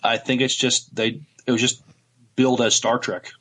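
A man co-hosting a podcast, chatting casually in halting phrases. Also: mid-range voice, flat delivery, a bit bright, breathy edge. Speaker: podcast co-host. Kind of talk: casual chat.

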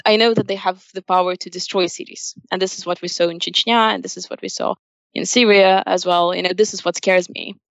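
Creaky-voiced young Ukrainian woman, lowish pitch. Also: steady tone, fairly quick, fairly bright tone, no room sound, dull audio. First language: Russian. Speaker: interview guest. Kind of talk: spoken interview answers, personal opinion.

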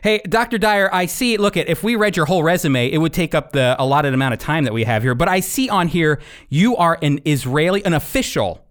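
A man hosting a radio program and teaching the Bible in an emphatic, deliberate way. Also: fairly high pitch, fairly bright tone.

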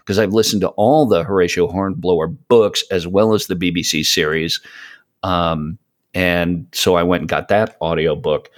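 Male podcast guest, low-pitched voice, casual and rambling, feeling around for the right words. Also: steady tone, moderate pace. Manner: rambling, hesitant searching for words. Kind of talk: casual podcast chat, recommending audio dramas.

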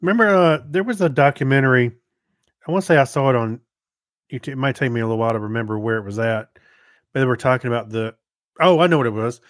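A man's voice: medium pitch, fast talker, dry recording, clean studio sound. A man co-hosting a podcast, chatting casually and explaining things in a loose, rambling way. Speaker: podcast co-host. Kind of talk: casual chat.

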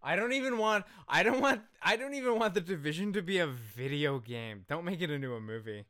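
A man's voice: fairly high pitch, animated, a little fast, pushed and bright, no echo, clean studio sound. A man co-hosting a podcast, giving opinionated reactions in casual chat, emphatic and sweary, often repeating himself. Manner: emphatic, repetitive, casually profane. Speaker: podcast co-host.

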